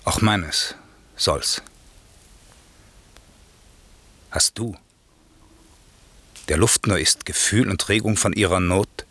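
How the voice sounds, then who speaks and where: A wronged sorcerer lord in a classical radio play. His voice is very low and he speaks slowly, with grave, declamatory weight. He sounds stern and commanding, yet bent on mercy. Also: a bit bright, dry recording, heavily acted. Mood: grave, moved. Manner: solemn, declamatory, measured. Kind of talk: German classical radio drama verse recitation.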